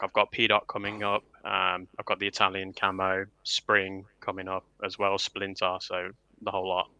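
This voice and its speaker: A man's voice: low-pitched, bright, forceful tone, no room sound. A man co-hosting a livestream, talking casually. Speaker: livestream co-host. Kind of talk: casual chat.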